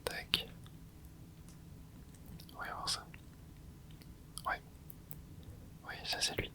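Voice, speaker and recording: A man whispering gameplay commentary. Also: extremely slow. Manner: soft whispering, casual gamer slang, talks to himself while playing. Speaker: ASMR gaming channel host.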